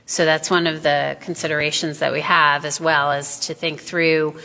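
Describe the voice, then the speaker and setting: A woman official explaining a city process in a measured way, with many pauses and fillers, in a public meeting hall. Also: sits low, level tone, sharp tone. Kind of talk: explanatory presentation. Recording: reverberant hall.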